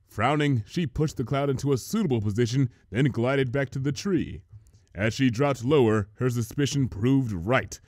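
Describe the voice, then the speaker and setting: Animated male storyteller, dry sound. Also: mid-range voice, no room sound. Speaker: narrator, story reader. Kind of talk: scripted storytelling narration.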